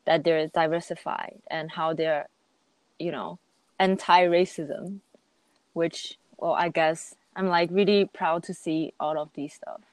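A woman's voice: deep voice, fairly even intonation, unhurried, no room sound, poor audio. A young woman from Taiwan and Japan chatting casually, hesitant as she searches for her words. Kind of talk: casual conversational discussion.

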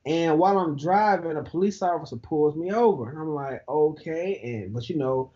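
Man, high-pitched voice, chatty and animated, dull lo-fi recording. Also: moderate pace. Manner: animated storyteller who laughs easily. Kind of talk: casual podcast chat.

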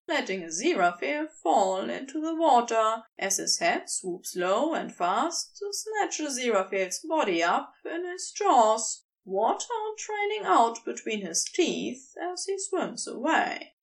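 A woman reading a story aloud as an audiobook narrator, in an expressive scripted style. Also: sits high, far from the mic. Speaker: audiobook narrator of fan fiction.

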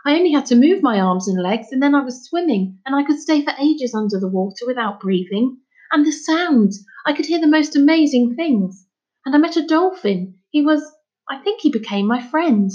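A woman voicing an earnest, excitable young boy in a storybook, pleading and insistent. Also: sits high, normal speed, dull audio, pitched above the performer's own voice. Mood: excited, urgent.

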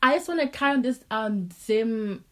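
A woman's voice: fairly high pitch, lively intonation, moderate pace. Chatty young woman. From Zimbabwe. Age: young adult. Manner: talkative, emphatic, laughs often. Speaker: podcast co-host. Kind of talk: casual chat.

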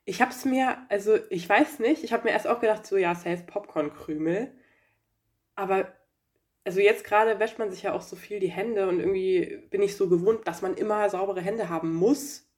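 A woman's voice: medium pitch, moderate pace, a little mellow. Give me a woman from Franconia co-hosting a podcast, hesitant and rambling. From Franconia, Germany. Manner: rambling, hesitant, lots of fillers. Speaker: podcast co-host. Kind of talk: casual chat.

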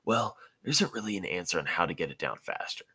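A man's voice: low voice, animated, quick pace, bright, forceful tone, breathy edge, exaggerated voice. A man voicing a kindly, encouraging male ninja teacher in an amateur story reading. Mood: patient.

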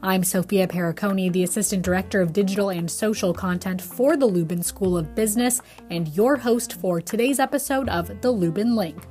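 A woman's voice: medium pitch, normal speed, hardly any pauses, mellow. A woman hosting a podcast, reading an introduction and then asking interview questions in an upbeat way. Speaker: podcast host. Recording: faint music behind.

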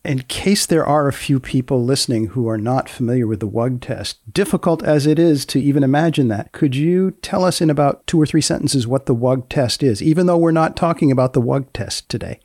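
A man hosting a podcast interview, asking questions in a deliberate, deadpan way. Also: mid-range voice, no echo, clean studio sound. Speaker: host, magazine editor. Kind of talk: podcast interview with scripted introduction.

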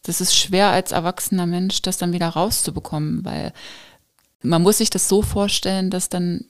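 A woman's voice: on the low side, steady tone, normal speed. A woman hosting a podcast, talking in an encouraging, reflective way like a coach. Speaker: podcast host. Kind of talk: casual podcast conversation.

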